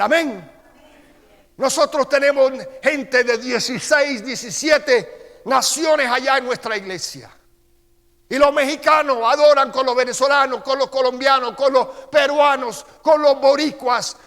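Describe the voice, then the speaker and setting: A middle-aged man with a Costa Rican accent preaching a sermon as pastor. He reads Scripture slowly and with emphasis, then turns quieter as he tells personal stories. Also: very high voice. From Costa Rica. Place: church sanctuary. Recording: long church-hall reverb.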